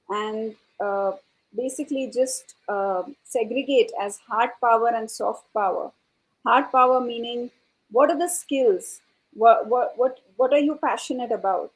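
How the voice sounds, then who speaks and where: A woman speaking as an educator on a panel, explaining her views in a halting, thoughtful way and often repeating words. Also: a little high, a little mellow, poor audio. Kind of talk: panel discussion answer, explanatory.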